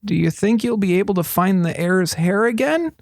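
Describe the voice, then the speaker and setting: A man's voice: high-pitched, expressive, normal speed, gravelly, a little mellow, no echo, studio quality, pitched above the performer's own voice. A man voicing a teenage boy thief who sounds anxious, earnest and unsure of himself. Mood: uncertain. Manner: pleading and uncertain. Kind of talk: audiobook character voice.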